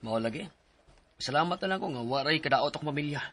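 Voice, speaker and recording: A man's voice: fairly high pitch, expressive, fast talker, bright, forceful tone. A man playing a protective, concerned husband and father in a radio drama. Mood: shaken, cautious.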